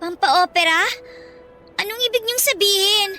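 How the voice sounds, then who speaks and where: High, bright, guilty teenage girl. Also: moderate pace, faint music behind. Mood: guilty, pleading. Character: guilty, defensive teenage girl, uneasy and regretful. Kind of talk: radio drama acting.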